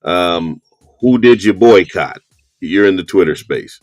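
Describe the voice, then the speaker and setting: A man's voice: low-pitched, expressive, measured pace, darkish tone, no room sound, clean studio sound. A man hosting a live call-in discussion in a casual, colloquial way. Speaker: host of a live audio discussion.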